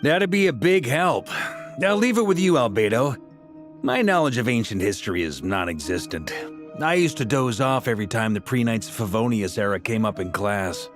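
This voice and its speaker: Lively, easygoing knight leader played by a man. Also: medium pitch, normal speed, music in the background, natural voice. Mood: casual. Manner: casual, playful, expressive. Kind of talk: video game story dialogue.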